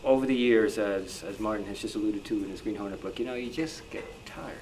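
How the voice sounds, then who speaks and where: A man's voice: fairly low pitch, brisk. A man speaking informally as a researcher and panel guest at a club meeting. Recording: clearly noisy live room.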